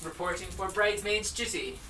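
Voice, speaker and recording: A man's voice: high-pitched, sharp tone, a little hiss or hum. Loki, a man playing a smug trickster. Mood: teasing. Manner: slow, teasing, smug. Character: mischievous trickster god Loki, gleeful. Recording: distant, echoey space with ambience.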